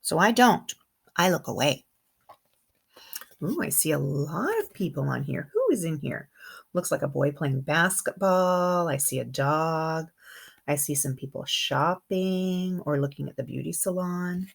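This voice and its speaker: Woman, kindergarten teacher type, low register, slightly husky, expressive and animated storybook reading with conversational asides for little listeners. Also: a little slow. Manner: expressive, engaging young listeners with questions and comments. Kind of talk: children's picture-book read-aloud with chatty asides.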